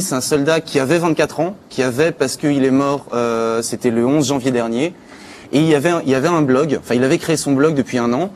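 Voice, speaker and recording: A man's voice: medium pitch, a little mellow, a little hiss or hum. A man giving an informal spoken chronicle on a live talk show, as a news contributor. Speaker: contributor presenting internet news.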